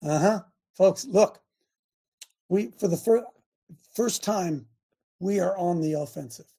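A man's voice: sits high, takes it slowly, mellow, slightly rough. A man hosting a live talk stream, talking emphatically and directing his producer between remarks.

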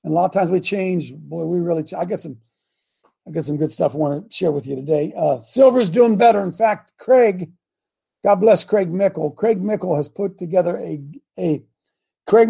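An older man hosts a loose live chat show in a raspy, gravelly voice. He sounds hoarse and clears his throat often. His tone is dark and husky, and his pitch sits higher than most men's. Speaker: show host.

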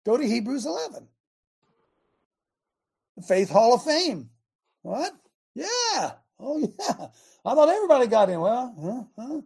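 A male talk show host with a scratchy, husky voice worn by chronic laryngitis and a lingering cough. His tone is dark and mellow. He rambles loosely, then drives his points home with fervent, emphatic preaching. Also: very high voice, very animated, unhurried, pauses often. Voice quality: raspy, scratchy voice. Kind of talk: fiery preaching talk radio.

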